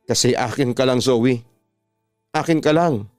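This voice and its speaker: A man's voice: mid-range voice, unhurried, no room sound, faint music behind, lightly acted. A Filipino man voicing a possessive, manipulative ex-boyfriend in a radio drama, insistent in his delivery. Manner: pleading turning insistent and threatening.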